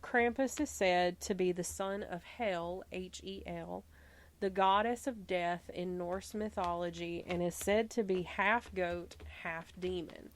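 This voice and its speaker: Slow-talking Southern woman podcaster. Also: on the low side, slight background noise. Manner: relaxed, chatty, stumbles and laughs at herself. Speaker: podcast host. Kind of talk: reading researched notes with casual asides.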